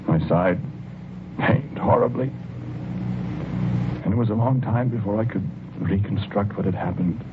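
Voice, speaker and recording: An actor playing a haunted, wounded husband telling his tragic tale haltingly and intimately, in 1940s radio drama narration on an old recording. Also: on the low side, narrow, tinny audio, very noisy, lightly acted. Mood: haunted, grave.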